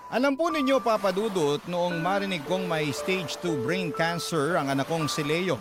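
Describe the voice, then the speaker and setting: A man narrates as a widowed parent recounting a hard life for radio drama. The voice is slightly high, earnest and measured. Loud music runs underneath. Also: lightly acted. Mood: sorrowful. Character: hardworking widowed parent telling a sorrowful life story. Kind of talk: scripted first-person radio drama narration.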